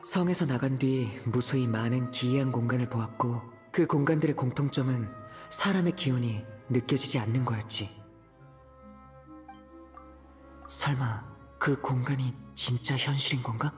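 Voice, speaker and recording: A man's voice: medium pitch, moderate pace, natural voice. A man voicing an earnest, pensive young male traveler in a game, speaking in grave, reflective monologue. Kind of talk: Korean game voice acting. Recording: music behind the voice.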